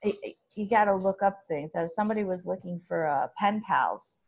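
A talkative woman with a loud voice, chatting as an interview guest and laughing often as she talks. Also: on the low side, fairly quick, highs cut off. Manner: chatty and laughing, with many restarts. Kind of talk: casual conversational interview.

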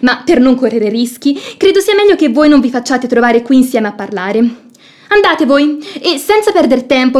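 An actress playing a bold, meddling maidservant in a classic radio comedy, bossy and emphatic in her scolding. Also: sits high. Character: bold, meddling, sharp-witted maidservant, exasperated peacemaker.

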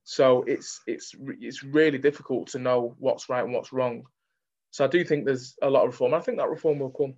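Casting: young Mancunian male, candid interview guest, conversational delivery, mid-pitched voice on muffled lo-fi audio. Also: steady tone, moderate pace, a little mellow. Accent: Manchester. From Manchester, England.

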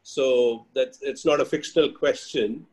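A man's voice: mid-range voice, fairly even intonation, unhurried, a little mellow, low-quality recording. Male interview guest, middle-aged, Indian accent, mid-range voice, conversational and reflective.

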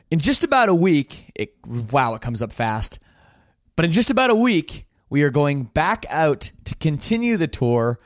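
A man's voice: a little high, dry recording, clean studio sound. Upbeat man hosting a podcast. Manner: upbeat, rambling, restarts a lot. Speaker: podcast host. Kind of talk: casual podcast chat.

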